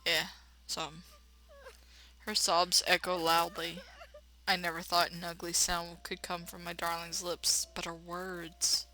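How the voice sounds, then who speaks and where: A woman playing a game on camera, switching between dramatic read-aloud narration and chatty, animated commentary with folksy exclamations. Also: on the low side, slow pace. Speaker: let's player.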